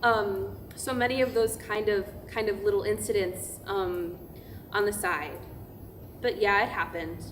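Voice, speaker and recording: Female pastor, weary and incredulous, conversational and halting, played by an actress, mid-pitched, faint noise of a live staged reading. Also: steady tone, natural voice. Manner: hesitant, filler-laden, candid. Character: female pastor recounting seminary harassment, weary, candid, incredulous. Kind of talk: verbatim theatre monologue, conversational and halting. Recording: noisy room recording of staged reading.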